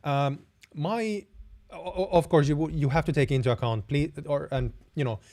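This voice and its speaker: A man's voice: on the high side, normal speed, darkish tone. A young Finnish man, speaking English with a Finnish accent, hosts a live stream Q&A in a friendly, hesitant way, often stopping and restarting his sentences. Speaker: host.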